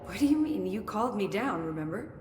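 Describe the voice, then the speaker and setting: Woman acting an unflappable young scientist, chatty, curious and analytical, mid pitch, game dialogue, long reverb. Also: very animated, a little fast, darkish tone, close to an everyday voice. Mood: puzzled, breezy. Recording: echoey hall, faint ambient noise.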